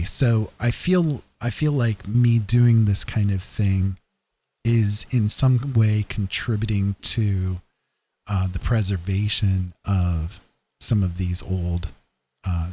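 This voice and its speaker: A man hosting a stream, chatting casually in a rambling, hesitant, self-effacing way with a husky voice. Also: fairly low pitch, a little mellow. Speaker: streamer host.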